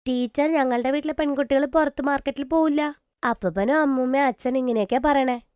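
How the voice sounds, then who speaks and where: A woman's voice: sits high, a little fast, darkish tone, no echo, clean studio sound, lightly acted. A woman playing an earnest, hopeful young schoolgirl with a childlike, pleading manner in a cartoon story. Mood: plaintive, earnest. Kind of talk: dramatised cartoon story dialogue.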